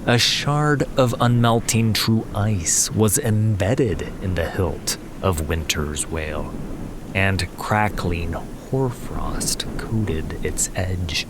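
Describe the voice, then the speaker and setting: A man narrating a fantasy audiobook in a dramatic, measured and solemn scripted style. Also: low voice, background noise. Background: music.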